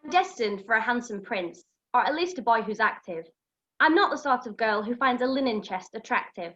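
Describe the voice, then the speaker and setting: Woman acting a pantomime princess, haughty, curious, bright animated delivery, dull recording. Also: fairly high pitch, fairly quick. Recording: dull lo-fi sound.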